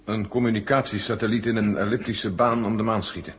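Very husky, compliant general. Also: male voice, lowish voice, fairly even intonation, a little fast. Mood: dazed, compliant. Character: male general, drugged into flat compliance. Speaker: radio drama actor. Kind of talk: 1970 Dutch radio play acting.

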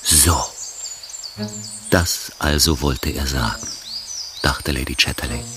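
An actor narrating a German radio drama in a grave, measured, literary manner. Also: male voice, rumbling low, steady tone, noisy background.

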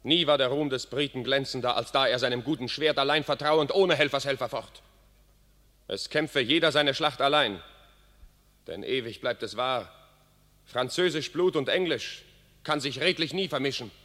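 An actor playing a proud, bitter English lord in a classic radio play, declaiming verse scornfully. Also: male voice, medium pitch, normal speed, bright, forceful tone, close to an everyday voice. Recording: long hall reverb.

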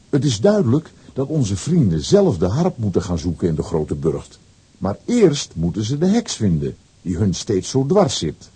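A man narrating a scripted children's audio story with a husky voice and wry asides. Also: fairly low pitch, mellow.

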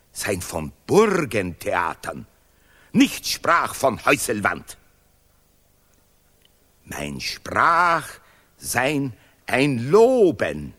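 Pompous professor, wildly swooping declamation. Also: male voice, medium pitch, fairly bright tone, full character voice. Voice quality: deliberately broken, ungrammatical German. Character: pompous self-praising history professor and Nobel laureate. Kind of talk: 1970s experimental radio play, stylised declamation. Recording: reverberant hall-like studio sound.

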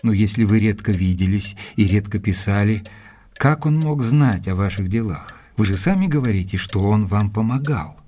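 Gentleman investigator, mellow, slightly husky, measured, polite, formal, audio drama with music behind. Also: fairly low pitch, expressive. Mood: polite, probing. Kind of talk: dramatized audiobook narration and acting.

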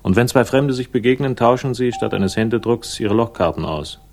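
An actor playing a world-weary private investigator, dry, sardonic and slightly gravelly, in a 1960s German radio crime drama. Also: male voice, mid-range voice, expressive, normal speed. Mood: wry, brooding. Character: world-weary, wry, tall male private investigator narrating.

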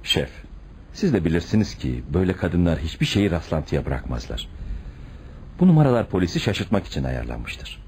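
A man's voice: sits low, very animated, moderate pace, a little mellow. An actor playing a suspicious, stubborn police detective in a radio play, blunt and insistent with his superior. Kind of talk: radio theatre acting. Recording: faintly noisy radio drama recording.

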